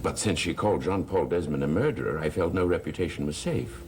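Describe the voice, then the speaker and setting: An actor playing a suave, mock-courteous schemer with smooth menace in a gothic soap opera, urbane and theatrically ironic. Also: male voice, low-pitched, a little fast, darkish tone, a little hiss or hum, close to an everyday voice. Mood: smoothly threatening.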